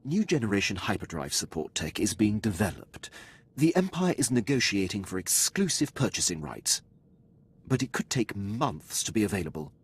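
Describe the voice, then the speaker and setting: An actor playing a dutiful, precise Imperial officer in a video game, speaking formally and deferentially. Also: male voice, fairly low pitch, sing-song, moderate pace, husky, heavily acted. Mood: composed, pleased.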